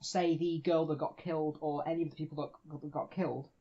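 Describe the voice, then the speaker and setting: A man's voice: fairly high pitch, fairly even intonation, quick pace. A man co-hosting a podcast, casually debating a TV show and often restarting his sentences. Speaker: podcast co-host. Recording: dull lo-fi sound.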